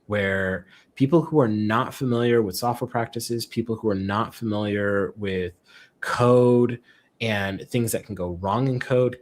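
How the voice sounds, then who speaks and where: A man who is a guest expert, explaining in a relaxed way with many fillers and acted-out quotes. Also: lowish voice. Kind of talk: casual explanatory chat.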